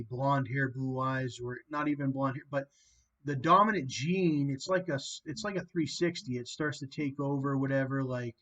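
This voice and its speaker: A man's voice: mid-range voice, a bit bright. Man, from Canada, rambling, filler-heavy, muffled phone-call sound. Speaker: host calling a guest. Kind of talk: casual phone chat.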